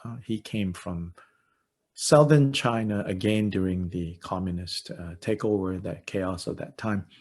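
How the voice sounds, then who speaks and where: Husky-voiced Malaysian English man, halting. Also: low-pitched, a little mellow. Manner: hesitant, full of "uh" fillers, wry self-deprecating humor. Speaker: dharma teacher. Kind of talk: informal teaching and Q&A.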